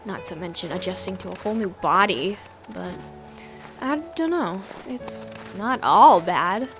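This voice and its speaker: A woman voicing an upbeat, excitable young female mutant lizard, a chatty idealist, in a comic dub. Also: medium pitch, measured pace, over music. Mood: wistful.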